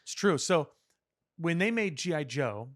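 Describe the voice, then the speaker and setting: A man's voice: on the high side, lively intonation, a little slow, no room sound, clean studio sound. A man co-hosting a pop culture podcast, talking casually in a discursive, analytical way. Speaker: podcast co-host. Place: podcast studio.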